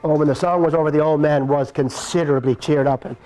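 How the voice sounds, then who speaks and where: A man telling a folk tale aloud in a live puppet show, with lively, repetitive phrasing. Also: fairly high pitch, fast, soft background music, low-quality recording. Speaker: storyteller.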